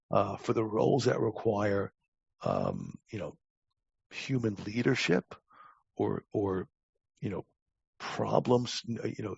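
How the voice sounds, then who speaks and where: A man in his sixties speaking casually as an expert guest in an interview, halting but opinionated and plain-spoken. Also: fairly low pitch, creaky, darkish tone, slightly rough. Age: 60s. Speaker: guest expert, talent acquisition advisor. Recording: muffled lo-fi, roomy sound.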